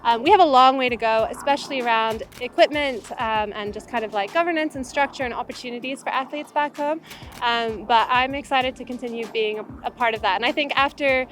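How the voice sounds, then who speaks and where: A young woman athlete from Uganda, speaking in a relaxed, reflective way with casual fillers in a documentary interview. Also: fairly high pitch, brisk, faint music behind. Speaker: Olympic rower.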